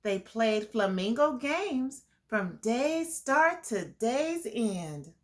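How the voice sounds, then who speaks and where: A woman's voice: on the high side, sing-song, drawn-out words. A woman hosting a children's storytime, reading a picture book aloud and explaining it to young listeners. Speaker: children's storytime host and reader.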